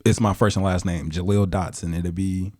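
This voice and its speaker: Low-voiced young man chatting casually. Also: normal speed. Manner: relaxed, slangy, rambling with fillers. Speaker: guest musician. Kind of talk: casual podcast chat.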